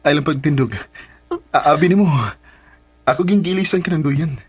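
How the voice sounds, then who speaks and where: A man's voice: fairly high pitch, normal speed, slightly rough. A man with a Visayan Filipino accent playing a young sweetheart in a radio love drama. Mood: playful.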